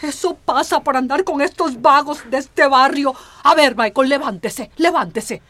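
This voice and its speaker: An actress playing a weary, worried older guardian in a radio drama, plaintive and full of sighing exclamations. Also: female voice, high voice, moderate pace, a bit bright, no room sound. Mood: alarmed, scolding.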